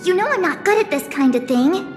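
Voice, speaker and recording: An actress playing a perky, candid teenage girl adventurer in a video game, talking in an informal, animated, blurting way. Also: extremely high pitch, fairly quick, long reverb tail, soft background music. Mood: flustered, earnest.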